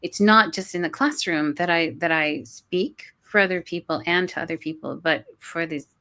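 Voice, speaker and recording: A woman's voice: fairly low pitch, normal speed, sharp tone. A woman in an interview who talks earnestly as a guest, restarting her words often and sometimes laughing as she goes. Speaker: guest, college professor. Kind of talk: conversational interview.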